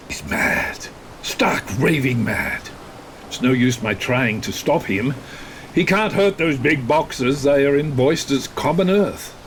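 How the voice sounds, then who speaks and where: A man's voice: mid-range voice, expressive, slow, slight background noise. An actor playing a weary, fearful, devout ship's captain giving his log in a horror radio play. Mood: alarmed. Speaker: actor in horror audio drama.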